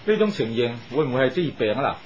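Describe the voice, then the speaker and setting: A man's voice: medium pitch, big pitch swings, quick pace, a little mellow, natural voice. Man acting a chatty workmate, slightly dull recording. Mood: practical, advising. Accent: Hong Kong Cantonese. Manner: inquisitive, advising. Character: chatty male workmate, curious, practical, reassuring. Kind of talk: 1980s radio drama acting. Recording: slightly dull, noisy old radio recording.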